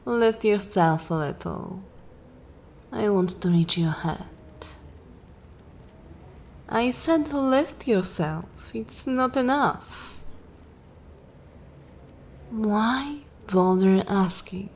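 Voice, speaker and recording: A woman voicing a dominant, sensual vampire mistress in an ASMR fantasy roleplay, commanding and savouring, often slipping into soft breathy whispers. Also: medium pitch, lively intonation, drawn-out words, pauses often, a little hiss or hum. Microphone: close microphone.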